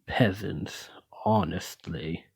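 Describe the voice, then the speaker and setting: A man's voice: low voice, steady tone, very slow, creaky, a little mellow, husky, no room sound, heavily acted. A man playing a haughty, menacing lamia noble in an ASMR roleplay, speaking with condescending, theatrical, aristocratic deliberation. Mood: mocking disdain. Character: haughty, menacing male lamia noble, wealthy retired merchant. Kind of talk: ASMR roleplay addressed to the listener.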